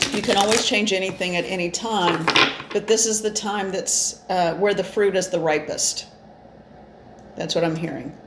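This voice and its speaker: A woman with a gravelly voice giving an informal spiritual card reading, talking in an earnest, meandering way with gentle encouragement. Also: lowish voice. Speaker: spiritual channeler and card reader. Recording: echoey room with faint noise.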